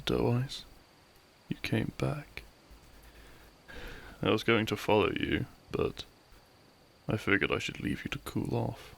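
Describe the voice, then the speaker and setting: A man playing a runaway royal turned outlaw, a weary, tender and gently pleading lover in a romantic audio roleplay, with soft, sighing delivery. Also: fairly low pitch, vocal fry, full character voice. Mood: relieved, tender.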